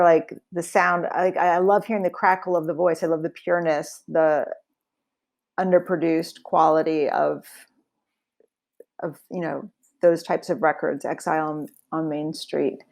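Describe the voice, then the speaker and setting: A woman's voice: lowish voice, steady tone, normal speed, vocal fry, a little mellow. A woman musician talking casually in an interview, reflective, with many fillers. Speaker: singer and guitarist.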